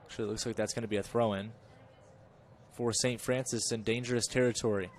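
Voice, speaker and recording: A man giving chatty live play-by-play of a college soccer match, correcting himself and rising in pitch and volume when the play gets dangerous. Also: fairly even intonation, fast talker, a little hiss or hum. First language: English. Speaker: play-by-play commentator.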